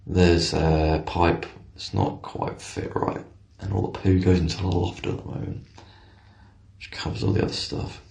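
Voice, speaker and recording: A man playing a hesitant, matter-of-fact tradesman in a deadpan comic monologue, with a gravelly voice. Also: sits low, measured pace, roomy sound.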